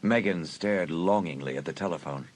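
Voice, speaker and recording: A man narrating a suspense audiobook in a dramatic, deliberate storytelling manner. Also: low-pitched, slight background noise.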